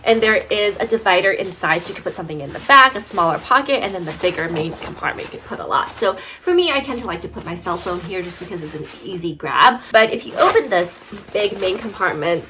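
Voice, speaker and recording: A woman's voice: on the low side, animated, quick pace, sharp tone, slight background noise. A woman vlogger casually reviewing bags, slightly congested from allergies, chatty with many fillers. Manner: chatty, lots of fillers and "right?". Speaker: fashion vlogger.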